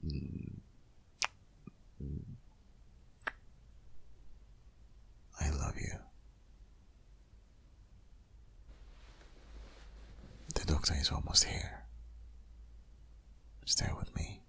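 A man playing a devoted, anxious but reassuring vet boyfriend in an intimate ASMR audio roleplay, whispering breathily with hushed, coaxing urgency. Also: rumbling low, completely flat delivery, takes it slowly, creaky, slightly rough, exaggerated voice. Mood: relieved, tender.

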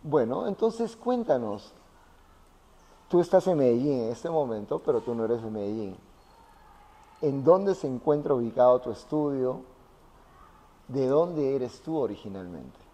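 A male interviewer puts questions in a conversational manner. His voice is mid-pitched with a mellow, dark timbre. The room adds some lingering reverb. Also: expressive, unhurried, long pauses.

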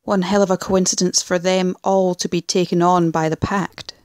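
A woman's voice: lowish voice, flat delivery, moderate pace, darkish tone, no echo, studio quality, lightly acted. A woman playing a cool, disdainful criminal operative in an audio drama, speaking in a clipped way. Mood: scornful, cool.

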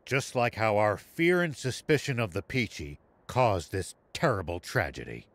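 An actor playing a stern, honorable old military veteran with a grave, commanding manner in a video game. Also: male voice, on the low side, sing-song, normal speed. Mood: grave, earnest. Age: older. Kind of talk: English video game cutscene acting.

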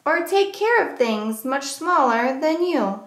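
A woman reading a rhyming children's picture book aloud as a storyteller, with measured, deliberate phrasing for young listeners. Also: high-pitched, expressive, a bit bright, echoey room.

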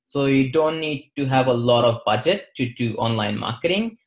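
A young man from western India with an Indian accent, explaining things in a measured, matter-of-fact way as an interview guest. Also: mid-range voice, animated. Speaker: interview guest, marketing advisor. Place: plain-walled room, indoors.

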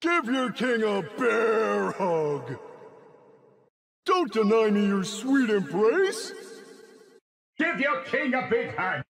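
Grandiose mechanical king, video game. Jovial and affectionate, animated high voice, long reverb. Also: takes it slowly, gravelly, pauses often, exaggerated voice. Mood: grandly affectionate. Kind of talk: video game battle cries.

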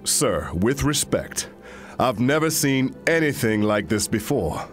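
An actor playing an earnest, troubled colony doctor in an animated sci-fi drama. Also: male voice, medium pitch, measured pace, soft background music, close to an everyday voice. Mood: earnest, insistent.

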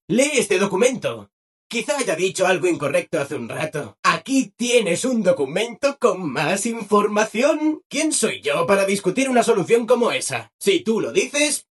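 A man's voice: high-pitched, a bit bright, slightly rough, exaggerated voice. A man with a Castilian Spanish accent voicing a relentlessly cheerful, eager-to-please robot assistant in a video game, with bubbly, exaggerated enthusiasm. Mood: cheerfully obliging. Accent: Castilian Spanish, from Spain. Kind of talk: dubbed video game dialogue.

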